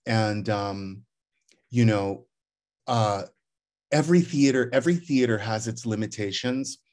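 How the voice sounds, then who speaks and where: A man's voice: lowish voice, unhurried, a little mellow. A middle-aged man, a theater director, talking at home over a video call in a reflective, rambling way with many restarts. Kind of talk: casual video call conversation. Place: living room at home.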